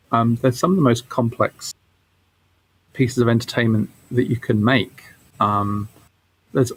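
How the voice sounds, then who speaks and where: A man's voice: medium pitch, breathy edge, low-quality recording. Informal male game developer being interviewed. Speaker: game developer and studio director, interview guest. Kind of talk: casual interview conversation.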